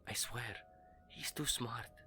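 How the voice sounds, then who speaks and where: A man's voice: medium pitch, steady tone, faint music behind. A man voicing a frightened young mother who whispers nervously into a phone in a horror audio story. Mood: fearful hushed. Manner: hushed, tense, halting whisper.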